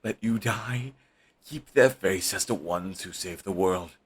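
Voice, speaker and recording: A man's voice: low voice, measured pace, a little hiss or hum. A young man playing a bitter, fiercely devoted male villain in an audio roleplay, talking in an intense, raw way and swearing often. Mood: bitter, reflective.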